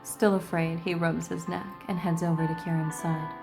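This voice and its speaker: Woman game streamer, lowish voice, irreverent read-aloud and commentary over prominent music. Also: normal speed, creaky. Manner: irreverent, salty, expressive. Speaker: gaming video host. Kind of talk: reading game dialogue aloud with casual commentary.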